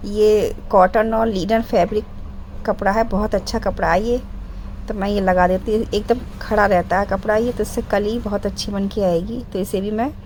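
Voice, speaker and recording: A middle-aged woman with a sore, hoarse throat, doing a voice-over for a sewing tutorial and explaining each step patiently, clearing her throat often. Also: mid-range voice, steady tone, normal speed, darkish tone, a little hiss or hum, low-quality recording. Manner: step-by-step, repeats instructions. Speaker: sewing channel host.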